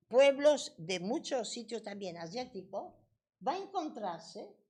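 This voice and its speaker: A woman with a French accent giving a passionate political talk at a debate. She often restarts words and hesitates. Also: on the high side. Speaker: debate speaker.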